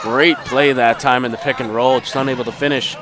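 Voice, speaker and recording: A man's voice: mid-range voice, a little fast, a crowd in the background. Sniffly male basketball play-by-play announcer. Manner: rises to excited shouts on baskets. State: sniffly, under the weather. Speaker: play-by-play commentator.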